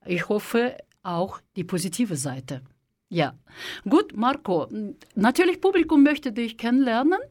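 A woman's voice: on the high side, expressive, a little slow, darkish tone. Hesitant woman hosting a radio talk. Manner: hesitant, with frequent fillers. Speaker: radio host. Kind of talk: radio interview.

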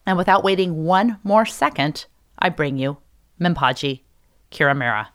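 A man's voice: on the high side, expressive, moderate pace, fairly bright tone, smooth. A man hosting a podcast, introducing and questioning a guest. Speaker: podcast host and interviewer.

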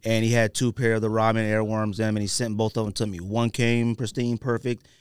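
Smooth, slangy man chatting on a podcast. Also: on the low side, brisk, no echo, studio quality. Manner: conversational, slangy, animated storytelling. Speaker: podcast host. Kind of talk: casual podcast chat about sneakers.

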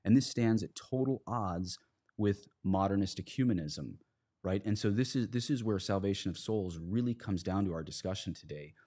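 A man's voice: deep voice, moderate pace, dark tone. A man speaking as a guest in a conversational religious discussion, earnest and explanatory. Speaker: priest, podcast guest.

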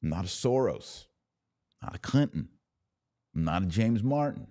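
Very deep-voiced man, dry close sound. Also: big pitch swings, a little slow, dark tone, dry recording. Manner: emphatic, repeats words and asks rhetorical questions. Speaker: podcast host. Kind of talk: conversational opinion commentary.

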